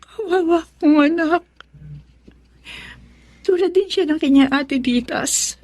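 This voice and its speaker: A woman's voice: extremely high pitch, slow, a little mellow. A woman with a Filipino accent playing a tender, earnest mother in a Tagalog radio drama. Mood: weak, sorrowful.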